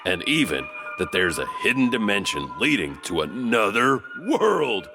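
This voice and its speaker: A gravelly, low-pitched male narrator tells legends with a very animated, swooping delivery and an easy chuckle. Music plays underneath him. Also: measured pace. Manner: lively, chuckling storyteller. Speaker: podcast narrator. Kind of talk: horror podcast narration.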